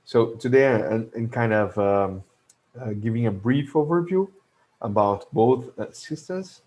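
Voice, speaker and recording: A man whose first language is Portuguese, speaking English with a Brazilian Portuguese accent, explaining a logistics topic in a video lesson with many pauses and filler sounds. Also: mid-range voice, darkish tone. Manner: hesitant, many filler sounds and restarts, chuckles to himself. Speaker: logistics educator.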